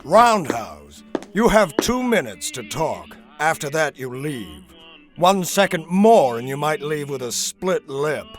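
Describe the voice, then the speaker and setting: A man's voice: fairly high pitch, very animated, slow pace, faint music behind. Hostile old-school noir politician, curt and menacing, lots of swing in his voice. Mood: threatening. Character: hostile disgraced former councilman, curt and threatening. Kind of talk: noir audio drama acting.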